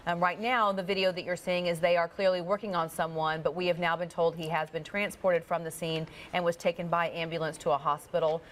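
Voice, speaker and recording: Measured woman anchoring local news. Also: lowish voice, slight background noise. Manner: steady, measured delivery of breaking news. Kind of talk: live local newscast reading.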